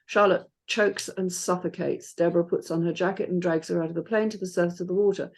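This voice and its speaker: A woman's voice: lowish voice, fairly even intonation, fast, a little mellow. A woman reading out stage directions as scripted narration.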